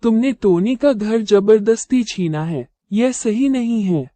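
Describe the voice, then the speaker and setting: A woman voicing a wise, grave elderly male owl who judges disputes in a cartoon fable, solemn and deliberate. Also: on the high side, mellow, dry recording, voice pushed down. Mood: stern, grave. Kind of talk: cartoon moral-story character dialogue.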